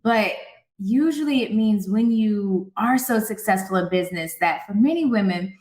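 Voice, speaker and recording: A woman co-hosts a podcast interview, putting her question together slowly with restarts and a stream of "yeah" and "mm-hmm" while the guest talks. Her middle-pitched voice sounds roomy, with a noticeable echo around it. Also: expressive, a little mellow. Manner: halting, restarts, frequent short affirmations. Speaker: podcast co-host.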